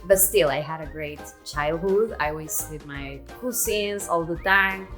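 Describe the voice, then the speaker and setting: A woman's voice: low voice, measured pace, a bit bright, faint music behind. A woman in her twenties from Venezuela, speaking English with a Venezuelan Spanish accent in a casual, chatty solo Q&A vlog. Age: 20s. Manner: chatty, laughs often, non-native grammar. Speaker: vlogger.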